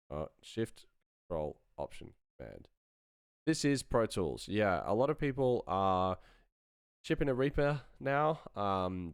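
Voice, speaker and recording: A man giving an informal, self-deprecating tutorial on sound design software in casual, slangy language. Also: deep voice, smooth, no room sound, clean studio sound. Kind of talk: casual live-streamed software demo.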